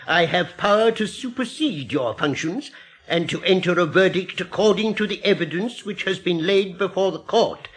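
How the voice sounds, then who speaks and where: An actor playing a stern, officious coroner in an audio drama, formal and unyielding. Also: male voice, high voice. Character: stern, officious male coroner addressing a jury.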